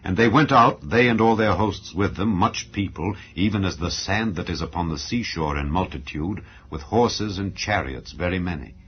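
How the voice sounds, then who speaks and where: A man giving a solemn, measured, declamatory dramatized narration of scripture as an audio Bible reader. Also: deep voice. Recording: dull lo-fi recording. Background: background music.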